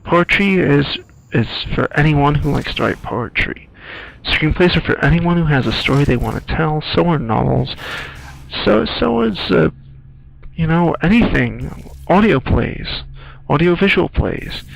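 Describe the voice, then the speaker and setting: A man giving casual, rambling playthrough commentary in an informal, halting, musing way. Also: a little high, animated, vocal fry, a little hiss or hum, poor audio. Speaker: screenwriter doing game playthrough commentary.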